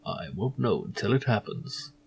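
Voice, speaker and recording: A man voicing a cryptic, serene stranger in an adventure game, speaking in a slow, hushed, enigmatic way. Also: fairly low pitch, lively intonation, a little hiss or hum.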